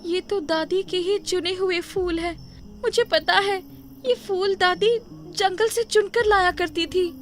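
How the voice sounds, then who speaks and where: A woman voicing an earnest, respectful young village girl in an animated moral story, speaking emphatically. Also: very high-pitched, measured pace, slight background noise, pitched above the performer's own voice. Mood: eager, amazed.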